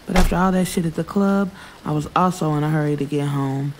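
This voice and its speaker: A woman's voice: low-pitched, brisk, background noise. A woman narrating first-person urban fiction in a casual, frank, streetwise way. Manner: frank, streetwise delivery with swearing. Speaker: audiobook narrator.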